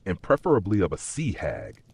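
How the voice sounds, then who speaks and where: Man playing Nott, a mischievous magical barkeep with swooping pitch. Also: huge pitch swings, normal speed, vocal fry, slightly rough, dry recording, heavily acted. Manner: teasing, riddling, playful wordplay. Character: Nott, mischievous, cryptic magical pub keeper, male. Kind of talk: dark fantasy audio story dialogue.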